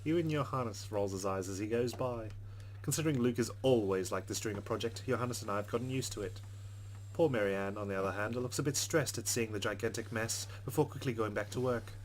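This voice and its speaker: A man's voice: fairly low pitch, brisk, a little hiss or hum, rough recording. A man reading a visual novel aloud as a let's player, slipping between narration and casual commentary.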